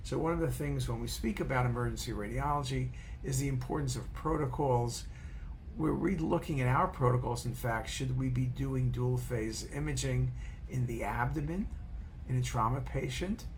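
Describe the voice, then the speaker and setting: A man's voice: mid-range voice, normal speed, slight background noise, poor audio. A man speaking off the cuff as a radiologist and educator, in a rambling informal lecture style that repeats phrases for emphasis.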